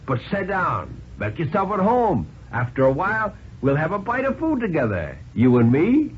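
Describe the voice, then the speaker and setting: A man's voice: fairly high pitch, wildly swooping pitch, moderate pace, lo-fi sound, slight background noise, voice pushed up. A man acting a sly, uneducated tramp with a mocking, slangy rustic drawl in a 1950s radio reading. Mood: sly, threatening. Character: jeering, folksy male tramp, sly and uneducated.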